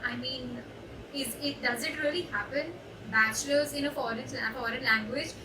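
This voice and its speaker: A woman's voice: high-pitched, moderate pace. A young woman with an Indian English accent, a student intern, giving a reflective personal testimonial with frequent hesitations. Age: young adult. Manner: reflective, hesitant with many uh fillers. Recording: echoey room, distant mic, background noise.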